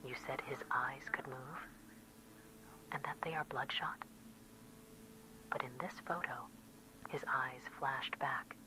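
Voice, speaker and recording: A woman narrating a scripted horror story in a breathy whisper. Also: very deep voice, fairly even intonation, takes it slowly, long pauses, noisy background.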